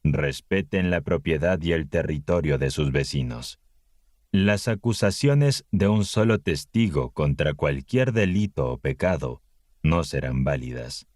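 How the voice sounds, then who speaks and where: Very deep-voiced man reading slowly. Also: lively intonation, darkish tone, no room sound, clean studio sound. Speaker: narrator reading scripture. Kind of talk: scripted audiobook narration of the Bible.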